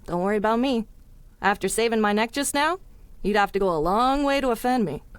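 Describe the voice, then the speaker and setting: A woman acting a spirited young city gal from a 1930s radio serial. Her voice sits fairly high and swings freely up and down, slangy and stubborn, recorded close and dry. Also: animated, brisk, smooth, dry recording, lightly acted. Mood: curious, impressed. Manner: spirited, slangy old-time talk. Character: plucky, warm-hearted young city woman, stubborn and curious.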